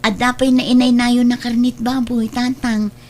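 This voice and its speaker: A woman's voice: on the high side, level tone, moderate pace, darkish tone, slight background noise, natural voice. An actress playing a poor, devoted, self-sacrificing mother in an Ilocano drama, humble and earnest, with an Ilocano Filipino accent. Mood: cheerful, devoted. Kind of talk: Ilocano radio-style drama acting.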